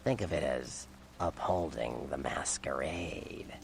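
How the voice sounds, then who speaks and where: An actor playing a seedy, cynical vampire informant in a video game, sardonic and streetwise in manner. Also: male voice, slow, slight background noise, exaggerated voice. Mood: matter-of-fact, gruff. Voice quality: gravelly, deep.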